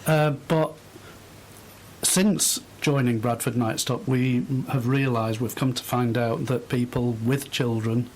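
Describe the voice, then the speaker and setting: A middle-aged man giving thoughtful, halting answers as a guest in an unscripted radio interview. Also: medium pitch, fairly even intonation, darkish tone, a little hiss or hum, poor audio. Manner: hesitant, thoughtful, halting phrases. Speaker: interview guest, volunteer host.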